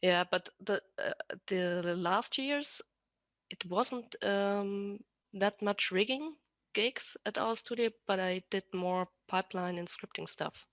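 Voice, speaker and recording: A woman's voice: mid-range voice, takes it slowly, a bit bright, dry recording. A woman with an Austrian accent chatting casually as an interview guest, often restarting and correcting herself as she explains. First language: German. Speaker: podcast guest, rigger.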